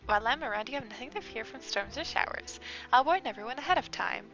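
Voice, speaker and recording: A woman's voice: a little high, lively intonation, fast talker, a bit bright. A woman voicing a cute, earnest female sea creature while reading game dialogue aloud. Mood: proud, content.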